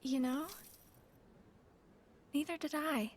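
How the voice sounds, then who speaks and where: A woman playing a shy, soft-spoken young girl in a video game, gentle and hesitant. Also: high-pitched, slow pace, very noisy. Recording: game audio with music behind.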